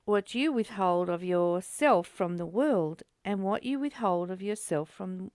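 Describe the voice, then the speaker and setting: A woman reading aloud and explaining a spiritual text as the host of a study group, in a deliberate, informal teaching way. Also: medium pitch, animated, a little mellow, no echo, clean studio sound.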